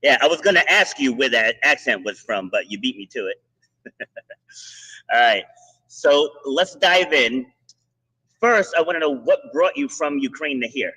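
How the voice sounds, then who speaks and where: A man hosting a podcast, giving a motivational monologue and interviewing a remote guest in bursts of quick, restarting speech. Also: mid-range voice, expressive, pushed and bright, husky, poor audio. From Rhode Island. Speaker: podcast host.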